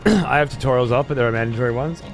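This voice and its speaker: A hoarse man casually commenting on a game he is streaming, rambling and swearing freely. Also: medium pitch, racing.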